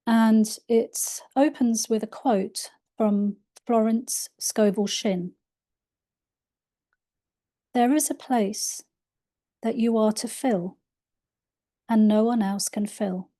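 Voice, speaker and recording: A woman reading aloud from her own spiritual book in a soft, unhurried way, her voice a little croaky. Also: fairly high pitch, fairly even intonation. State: slightly croaky voice. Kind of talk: slow gentle book reading.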